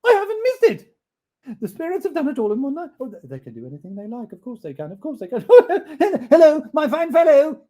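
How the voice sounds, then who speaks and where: A storyteller voicing an elderly former miser, excitable and breathless, stammering through his words. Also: sits high, normal speed, voice pushed up, close to an everyday voice. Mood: giddy. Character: old man, former miser, giddy and overjoyed. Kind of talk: read-aloud storybook voice acting.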